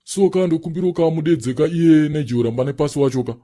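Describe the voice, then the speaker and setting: Cartoon faith healer, played by a man: soft-edged, fast male delivery, puffed-up yet pleading. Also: fairly high pitch, close to an everyday voice. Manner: pompous, wheedling. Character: self-important male prophet and faith healer pleading at a gate. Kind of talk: comedy cartoon voice acting.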